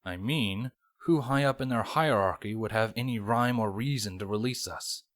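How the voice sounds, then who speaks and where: A man voicing a formal, composed bat-pony officer with a measured, polite delivery in a storybook reading. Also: lowish voice, no room sound, clean studio sound, close to an everyday voice. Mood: composed, courteous. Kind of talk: audiobook character voice in fan fiction. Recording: music playing behind the voice.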